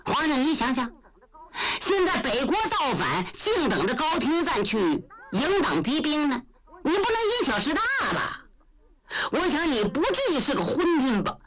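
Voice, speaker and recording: A woman's voice: high-pitched, sing-song, normal speed, a crowd in the background, voice pushed up. A woman voicing a blunt, muddle-headed old male general who talks back brashly in a traditional storytelling performance.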